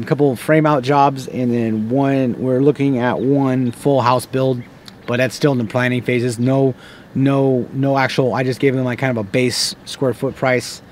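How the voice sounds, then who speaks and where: American man vlogging over clear background noise. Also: medium pitch, darkish tone. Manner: rambling, with frequent false starts. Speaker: renovation contractor and vlogger. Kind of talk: casual unscripted vlog talk. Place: inside a parked car.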